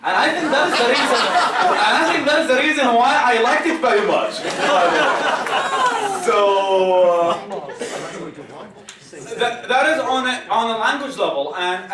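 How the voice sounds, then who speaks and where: A young man from Iraq, Arabic native, with an Iraqi Arabic accent, answering audience questions at a talk in a halting way, repeating words and laughing often. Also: very high-pitched, big pitch swings, over a noisy crowd. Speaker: guest speaker. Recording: echoey room from far off, faint ambience.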